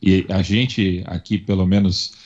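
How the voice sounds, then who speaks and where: A podcast co-host from São Paulo, this man speaks with a Paulistano accent in a fairly low register. He talks haltingly, with frequent fillers and restarts. The audio is dull and lo-fi. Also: fairly even intonation, darkish tone. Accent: Paulistano Brazilian Portuguese. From São Paulo, Brazil. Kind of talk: casual panel discussion.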